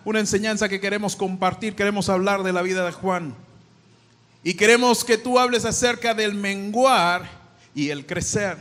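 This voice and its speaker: Dominican preacher in an echoing hall. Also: male voice, high-pitched, a bit bright. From Dominican Republic. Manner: prays and reads scripture aloud, then talks chattily to the congregation. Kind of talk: sermon. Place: church hall. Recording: long church hall reverb.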